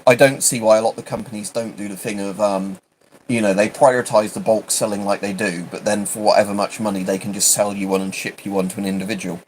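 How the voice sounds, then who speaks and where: A man's voice: lowish voice, fairly even intonation, quick pace, a little hiss or hum, poor audio. A British man chatting casually on a livestream, rambling and hesitant, often interrupting himself. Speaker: livestream host.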